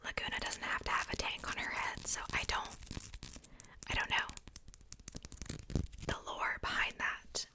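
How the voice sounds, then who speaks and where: A woman's voice: unhurried, pauses often. A young adult woman hosting ASMR, whispering casually in a rambling, informal show-and-tell with self-joking asides. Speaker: ASMR video host.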